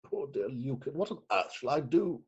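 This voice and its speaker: A man's voice: medium pitch, sing-song, breathy edge. Man acting a flustered, pompous magistrate, muffled. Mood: flustered despair. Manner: pompous, halting, theatrical. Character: flustered, pompous middle-aged male magistrate, anxious and self-pitying. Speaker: actor. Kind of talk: staged reading of stage farce. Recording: dull remote call audio.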